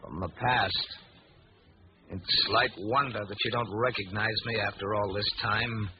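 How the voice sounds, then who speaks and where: A man's voice: fairly low pitch, steady tone, a little hiss or hum, lightly acted. Man acting an ominous stranger, muffled radio recording. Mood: menacing. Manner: measured, theatrical menace. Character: ominous male stranger foretelling death, coldly vengeful.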